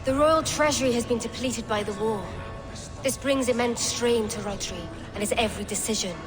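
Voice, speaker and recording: A woman's voice: a little high, normal speed, close to an everyday voice. An actress playing a pragmatic, composed queen in a video game, speaking formally and earnestly. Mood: measured. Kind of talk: dramatic video game acting. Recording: long hall reverb, music underneath.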